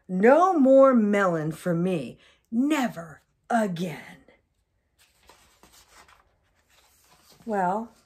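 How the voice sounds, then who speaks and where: Woman voicing a dramatic, excitable little crocodile, swooping high. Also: exaggerated voice. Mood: relieved. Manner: dramatic, exclamatory, melodramatic. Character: excitable, panicky young crocodile who loves watermelon. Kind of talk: children's picture book read-aloud.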